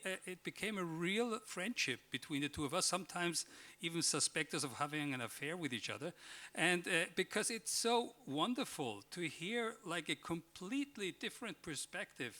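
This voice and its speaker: A man addresses a conference panel in a somewhat high, bright voice. He hesitates a lot, filling gaps with "uh" and "like" and restarting his sentences. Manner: hesitant, many fillers and restarts. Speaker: panelist, member of parliament.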